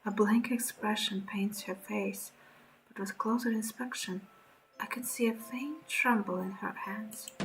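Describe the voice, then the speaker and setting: A woman streamer reading horror game narration aloud in a dramatic, breathy storytelling way, with short reactions of her own. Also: mid-range voice, fairly bright tone, poor audio. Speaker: streamer playing a horror visual novel. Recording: background music under the voice.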